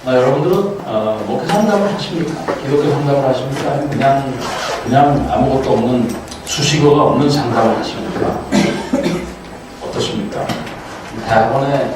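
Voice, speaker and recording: A man's voice: medium pitch, fairly quick, far from the mic, background noise. Older man lecturing in an echoey hall. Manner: chatty, self-deprecating, quotes others in playful voices. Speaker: guest lecturer, counseling professor. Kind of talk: casual joking lecture. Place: large auditorium.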